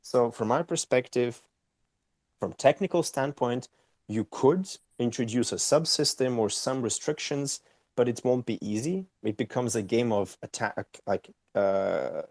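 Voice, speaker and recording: A man's voice: medium pitch, measured pace, darkish tone, no echo, studio quality. A man answering interview questions, explaining patiently and often checking with "right?". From Austria. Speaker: company co-founder and CEO, guest.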